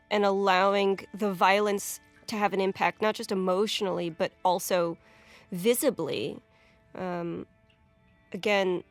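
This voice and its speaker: A woman in an unscripted analytical discussion, speaking in a measured, articulate way. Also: medium pitch.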